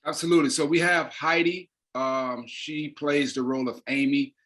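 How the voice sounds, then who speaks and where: A man's voice: a little high, fairly even intonation, moderate pace, a bit bright. An American man speaking as a guest playwright and director, giving casual promotional talk in an interview.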